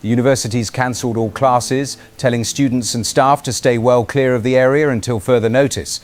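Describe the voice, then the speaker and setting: A man's voice: medium pitch, darkish tone, close to an everyday voice. A man playing a serious radio news anchor in an audio drama, delivering breaking news in a composed, formal, urgent way. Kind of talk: scripted news bulletin. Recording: light background ambience noise.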